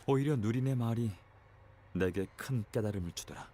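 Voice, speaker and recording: A man's voice: mid-range voice, very fast, warm tone, natural voice. An actor playing a wistful, brooding young male scholar with dry humour in a game. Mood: quietly bitter. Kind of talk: scripted game voice acting. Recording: game music under dialogue.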